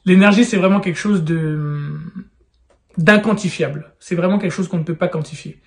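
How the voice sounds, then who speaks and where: A man in his thirties with a fairly high voice talks straight to the viewer. He sounds urgent and persuasive, and keeps pressing his point. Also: moderate pace. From France. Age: 30s. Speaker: real estate YouTuber. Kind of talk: direct-to-camera advice vlog.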